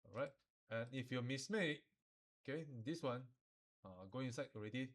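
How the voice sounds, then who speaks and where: Male software instructor, Malaysian or Singaporean accent, mid-pitched voice, informal step-by-step delivery with colloquial particles. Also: expressive, moderate pace. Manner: colloquial phrasing with sentence-final particles like lah and lor.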